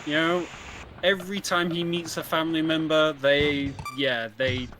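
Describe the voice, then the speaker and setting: A man's voice: a little high, level tone, unhurried. A man co-commentating casually on a live video game run, with wry, understated asides. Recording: loud game music behind the voice. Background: loud video game music.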